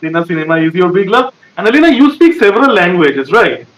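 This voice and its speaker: Interview host: high-pitched man from India, chatty and checking in, in an echoey room. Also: sing-song, fast, a bit bright. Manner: chatty, repeats questions, fillers like right. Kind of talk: interview questions.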